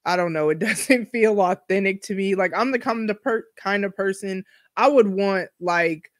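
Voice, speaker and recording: A woman's voice: mid-range voice, moderate pace, no echo, studio quality. A woman in her forties hosting a solo podcast, talking candidly in a chatty, slangy way full of asides. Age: 40s. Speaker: podcast host. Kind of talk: casual solo podcast monologue.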